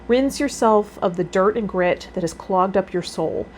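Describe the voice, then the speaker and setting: A woman's voice: medium pitch, moderate pace, darkish tone. A woman hosting a tarot vlog, reading card meanings aloud and adding casual commentary of her own. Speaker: tarot vlogger and healer hosting a series. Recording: noticeable background noise.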